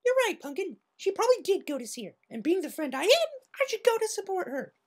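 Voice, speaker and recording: Someone putting on a squeaky, hyper pony girl. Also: big pitch swings, fast. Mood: happy, excited. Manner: exclaiming, bouncy. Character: hyper, cheerful young female cartoon pony, bubbly best friend.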